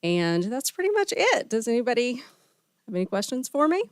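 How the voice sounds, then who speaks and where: Slightly gravelly woman at a public meeting. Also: fairly high pitch, big pitch swings, normal speed, no echo, studio quality. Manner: friendly and chatty. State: slightly gravelly from a spring cold. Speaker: small business center presenter. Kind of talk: answering questions at a public meeting. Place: county board meeting room.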